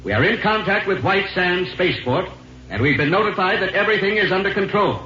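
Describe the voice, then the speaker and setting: A man's voice: high-pitched, lively intonation, a little fast, pushed and bright. An American man playing a weary, resolute spaceship captain in 1950s radio drama, clipped and commanding. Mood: firm, reassuring. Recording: muffled, noisy 1950s radio recording.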